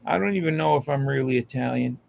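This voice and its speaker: A man's voice: medium pitch, quick pace, creaky, a little mellow, slight background noise. An American man in casual chat on a live hangout, with a slangy, profane way of talking.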